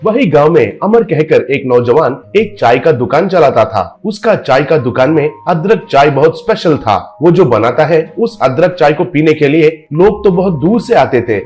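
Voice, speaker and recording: A man's voice: on the high side, animated, moderate pace, hardly any pauses, a little mellow, soft background music. A man narrating a scripted moral story for a cartoon.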